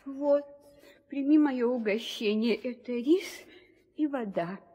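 An actress playing a devout, fearful young wife of a hero in a 1960s audio drama. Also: female voice, a little high, slow. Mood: meek, reverent. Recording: old studio recording with music.

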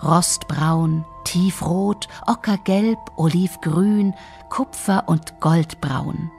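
Woman, fairy tale narrator, creaky voice, expressive scripted storytelling, soft music behind. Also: on the low side, drawn-out words.

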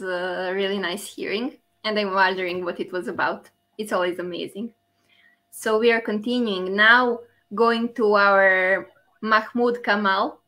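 A woman's voice: mid-range voice, pushed and bright. Lady hosting a poetry reading online, calling up each poet, easygoing and encouraging. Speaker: host.

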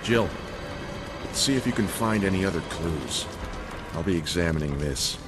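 A man's voice: low voice, lively intonation, moderate pace, over music. A man playing a burly, loyal police veteran in a video game, speaking emphatically and theatrically. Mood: uneasy. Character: burly, loyal male special-police veteran, dramatic and earnest. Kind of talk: video game cutscene acting.

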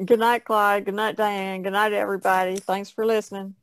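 A woman's voice: mid-range voice, level tone, normal speed, clean tone, dry recording, poor audio. A woman co-hosting a podcast in casual chat. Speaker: podcast co-host.

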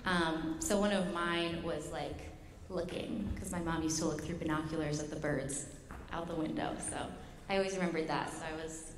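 Young female dancer, casual explanation, slightly low voice, reverberant hall. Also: steady tone, normal speed. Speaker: dancer on a panel. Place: large concert hall stage. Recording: echoey concert hall, clear noise.

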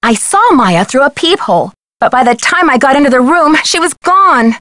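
Game heroine: young American female detective, fairly high-pitched, determined, articulate, dryly recorded. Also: big pitch swings, normal speed, fairly bright tone, dry recording, studio quality. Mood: urgent, earnest. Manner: insistent, polite, clearly spoken. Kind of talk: video game voice acting.

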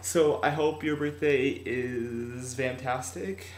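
A young man in his late teens vlogging casually from a room at home on a webcam, energetic and animated. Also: mid-range voice, takes it slowly. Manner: energetic, animated, laughs often. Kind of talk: casual fan vlog. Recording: roomy room echo, faint hiss.

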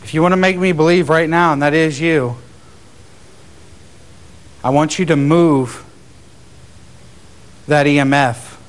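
A man investigating a tunnel at night, talking in low, hushed tones and earnestly coaxing spirits to respond. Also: fairly even intonation, unhurried, long pauses. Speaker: paranormal investigator. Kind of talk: casual chat, calling out to spirits. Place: dark tunnel outdoors at night.

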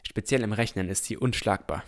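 A man playing an earnest, pensive village boy of sixteen, narrating a fantasy audio drama in a reflective, deliberate way. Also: lowish voice, close to an everyday voice.

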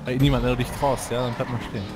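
A man's voice: medium pitch, little pitch movement, rapid-fire. A man in casual gaming chat, grumbling and ranting with swear words. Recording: game voice chat with music behind. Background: music and game vehicle sounds.

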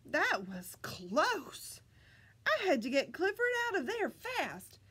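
Casting: woman, middle-aged, children's story-time reader, high voice, very expressive pitch, careful enunciation. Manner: deliberate, animated, exaggerated enunciation for children. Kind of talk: expressive picture-book read-aloud for young children. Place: small library children's room, indoors.

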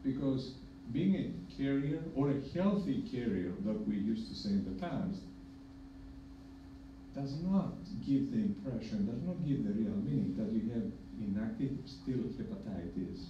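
A middle-aged man from Greece with a Greek accent, speaking on a conference panel as a hepatologist, measured and emphatic with dry humor. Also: medium pitch, animated, dark tone, distant mic. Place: conference room with projector. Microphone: podium mic, filmed from far in the room. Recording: echoey room from afar, dull and noisy.

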